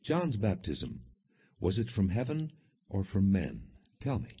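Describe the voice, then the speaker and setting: Man reading as a commanding, husky-voiced religious teacher. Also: sits low, huge pitch swings, unhurried, heavily acted. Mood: firm, challenging. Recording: muffled phone-like lo-fi audio.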